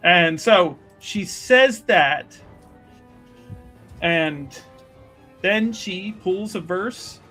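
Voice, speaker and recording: A man playing a tabletop roleplaying game, narrating his character in halting, deliberate, solemn phrases. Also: sits high, a bit bright.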